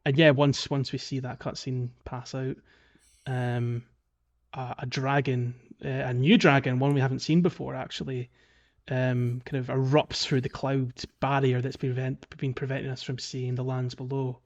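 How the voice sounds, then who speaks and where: A man's voice: medium pitch, flat delivery, normal speed, dull audio. A man co-hosting a podcast, casually recapping a video game in a loose, explanatory way with hedging asides. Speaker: podcast co-host.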